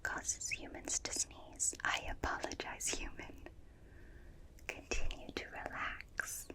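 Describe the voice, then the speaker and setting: A woman playing a gentle, curious alien in an ASMR roleplay, speaking in soft breathy whispers with soothing, clinical, repetitive phrasing close to the microphone. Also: natural voice. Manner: slow, soothing, repetitive, clipped detached phrasing. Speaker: ASMR performer. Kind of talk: ASMR roleplay, personal attention. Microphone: close binaural microphone.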